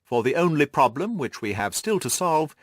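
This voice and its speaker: Audiobook gentleman detective, played by a male reader: assured, methodical, very animated pitch movement, close dry sound. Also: moderate pace, no echo. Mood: wry, reflective. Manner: precise, methodical, didactic explaining. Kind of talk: audiobook character dialogue.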